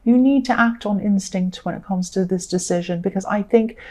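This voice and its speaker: A woman reading tarot cards to her viewers, her voice mellow and a little croaky. She talks gently and loosely, circling back on her phrases and pausing on "um" as she works out what she sees. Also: medium pitch. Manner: gentle, repetitive, thinking aloud with fillers. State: slightly croaky. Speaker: tarot reader. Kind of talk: solo tarot reading to camera.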